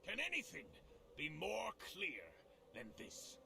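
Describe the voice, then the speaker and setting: A man's voice: high voice, wildly swooping pitch, takes it slowly, gravelly, background noise, exaggerated voice. A male actor playing a proud, regal dwarf king in a fantasy video game, declaiming with authority. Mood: solemn, commanding.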